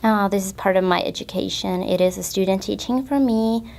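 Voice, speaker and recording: A woman's voice: medium pitch, fairly quick, gravelly, darkish tone. A young woman from southern Finland with a Finnish accent, a student teacher giving brief, plain answers in careful English in a casual interview. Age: young adult.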